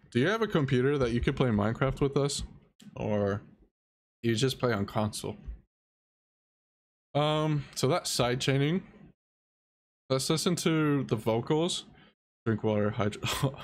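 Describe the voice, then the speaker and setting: A young man streaming, soft-spoken and halting, explaining audio editing and answering chat. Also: lowish voice, slight background noise. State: dry mouth. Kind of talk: casual live-stream tutorial.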